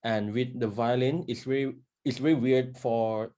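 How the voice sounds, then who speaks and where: A man's voice: mid-range voice, steady tone, normal speed, mellow. Hesitant man, many fillers. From Thailand. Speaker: guest violinist.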